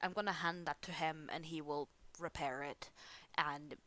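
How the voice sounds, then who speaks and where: A woman's voice: deep voice, fairly even intonation, normal speed, fairly bright tone. A woman from Sweden with a Swedish accent, speaking English as a second language, chatting casually into a close headset mic while she draws. She rambles and laughs at herself often. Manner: rambling, giggly, self-deprecating. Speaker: art channel host. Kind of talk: casual chat over drawing. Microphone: headset close to mouth.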